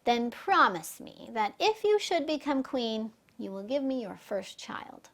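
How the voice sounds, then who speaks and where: A woman's voice: on the high side, big pitch swings, a little slow, fairly bright tone, exaggerated voice. A woman storyteller voicing a sly, demanding little magical man in a children's fairy tale. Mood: bargaining.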